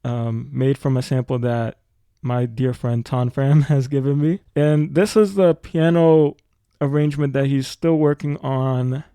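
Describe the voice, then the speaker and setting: A man's voice: medium pitch, steady tone, unhurried, dark tone, clean tone, dry recording. A man giving a casual tutorial as a music production teacher.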